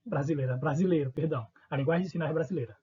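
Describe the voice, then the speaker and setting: A man's voice: a little high, racing, warm tone, husky, highs cut off. A man from Northeast Brazil with a Northeastern accent, teaching a recorded online lesson in an informal way, asking questions and answering them himself. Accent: Northeastern Brazilian Portuguese.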